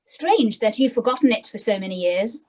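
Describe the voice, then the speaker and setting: Female spirit guide, played by an actress, radio drama: higher pitch, composed, firm, gently reproving. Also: lively intonation, normal speed. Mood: gently probing. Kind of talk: radio play acting.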